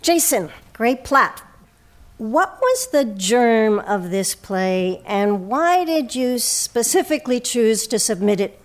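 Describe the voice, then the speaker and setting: A woman's voice: a little high, expressive, slow. A woman hosting a radio arts programme, presenting from a script and putting questions to a guest. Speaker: host and interviewer.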